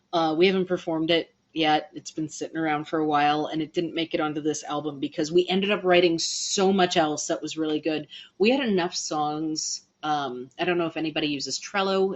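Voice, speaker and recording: Low-voiced woman, chatty livestream host. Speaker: livestream host and band musician. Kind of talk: casual livestream chat to viewers. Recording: dull lo-fi livestream audio.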